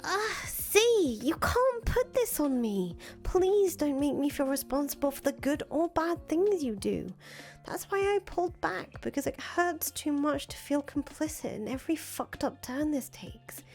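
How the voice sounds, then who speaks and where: Woman playing visual novel fangirl: young, soft-hearted, worried, hesitant and earnest, music underneath. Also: sits high, expressive, measured pace. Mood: upset, reproachful. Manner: hesitant, self-deprecating, earnest. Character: anxious, disillusioned young fangirl, soft-hearted conscience of a revolutionary. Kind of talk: visual novel dialogue reading. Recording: music behind the voice.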